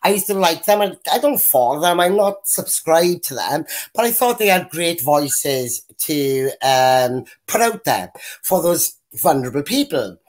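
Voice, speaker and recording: Blunt deep-voiced woman on a video-call panel. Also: expressive, unhurried, a little mellow. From Wales. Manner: blunt, rambling, mimics others when quoting. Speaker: panel guest. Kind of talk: casual opinionated chat.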